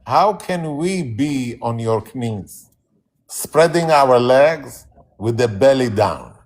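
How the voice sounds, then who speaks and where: A man's voice: medium pitch, expressive, slow. A man arguing bluntly in a live online debate, in broken English. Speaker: debate participant. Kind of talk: heated political debate.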